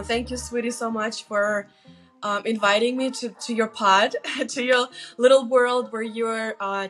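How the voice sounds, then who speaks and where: A woman in her forties from Lithuania, a guest telling personal stories casually in an animated, self-deprecating way. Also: a little high. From Lithuania, moved to the US as a teen. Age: 40s. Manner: animated, self-deprecating, quotes herself and others. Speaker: guest on her daughter's show.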